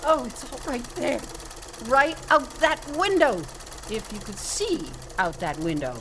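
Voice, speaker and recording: A woman's voice: fairly high pitch, very animated, unhurried. An actress playing a sardonic, fed-up New York woman in a comedic radio drama, wry and wisecracking. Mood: indignant. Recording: noisy rattling sewing-machine workshop ambience.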